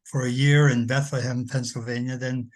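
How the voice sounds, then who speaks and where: A man's voice: mid-range voice, flat delivery, normal speed, a little mellow. A man speaking casually on a video call, rambling with many restarts and fillers. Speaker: guest speaker. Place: home.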